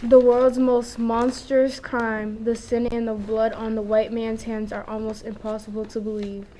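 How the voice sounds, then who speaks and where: A woman's voice: a little high, little pitch movement, measured pace, dark tone, slight background noise, rough recording. A young teenage girl, a middle school student, giving a dramatic reading aloud. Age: young teen, middle school student. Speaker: student reader.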